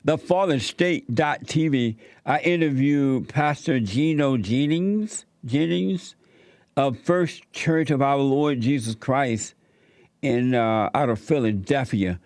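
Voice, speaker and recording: A man's voice: mid-range voice, slow pace, a little mellow, dry recording. A man filling in as host of a call-in talk show, chatting casually and breaking off into tangents with callers. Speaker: fill-in radio show host.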